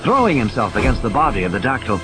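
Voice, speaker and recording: A man's voice: medium pitch, big pitch swings, quick pace, rough recording. A man giving a dramatic scripted narration of a story as an audiobook narrator. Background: music and sound effects.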